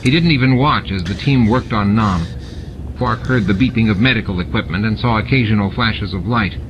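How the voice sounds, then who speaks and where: A man's voice: lowish voice, a little fast, background noise. A man reading a novel aloud as scripted, dramatic audiobook narration. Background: music and sound effects added to the reading.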